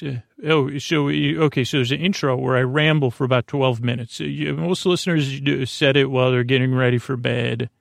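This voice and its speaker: A man's voice: mid-range voice, creaky, no echo, clean studio sound. A man hosting a bedtime podcast, rambling through a drowsy intro full of fillers and playful tangents. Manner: meandering, goes off on tangents and wordplay, many fillers. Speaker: podcast host.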